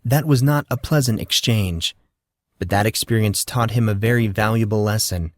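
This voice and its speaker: A man narrating a story in a steady, measured way as an audiobook reader. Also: on the low side, dry recording, clean studio sound.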